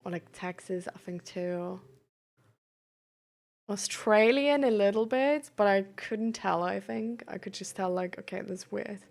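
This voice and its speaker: A young woman chatting casually on a live stream, full of fillers and quick reactions to chat. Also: mid-range voice, creaky, darkish tone. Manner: chatty, filler-heavy, reacts aloud to chat with surprise and laughter. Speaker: streamer and peer support coach.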